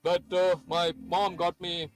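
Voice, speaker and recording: A man's voice: sits high, little pitch movement, measured pace, smooth, no echo. A man who grew up in Sri Lanka, giving hesitant, chatty play-by-play of a live cricket match with many fillers. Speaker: cricket commentator.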